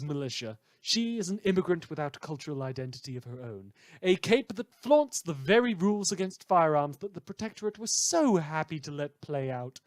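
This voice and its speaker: A man's voice: fairly high pitch, no room sound, close to an everyday voice. A man playing a glib, sardonic small-town radio news host, with a wordy, showy delivery in a satirical broadcast.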